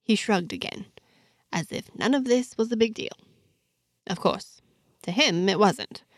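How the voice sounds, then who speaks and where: A woman's voice: mid-range voice, expressive, measured pace, no room sound, clean studio sound. A woman reading a scripted first-person story, narrating expressively and acting out the dialogue. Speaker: host and narrator of a fiction podcast.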